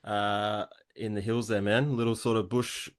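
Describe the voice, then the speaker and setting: A man from Western Australia with an Australian accent, a podcast guest talking in a relaxed, easygoing way. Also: lowish voice, measured pace. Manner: laid-back, laughs easily. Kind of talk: casual interview chat.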